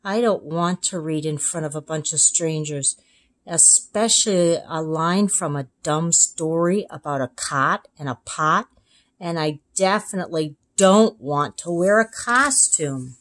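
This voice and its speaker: A woman's voice: sits low, unhurried, fairly bright tone. A woman voicing an anxious but determined young schoolgirl in a storybook, with emphatic, childlike delivery. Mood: glum, worried. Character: anxious, determined young girl, a first grader.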